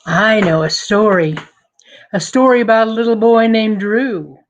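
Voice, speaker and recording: A woman storyteller with a mid-pitched voice and a slow pace. She narrates dramatically, leaning on words with deliberate emphasis to build suspense.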